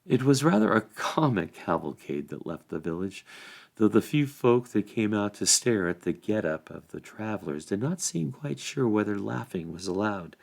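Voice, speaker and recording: Animated man reading a story aloud. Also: lowish voice, moderate pace, vocal fry. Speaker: volunteer story reader. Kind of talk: expressive read-aloud storybook narration.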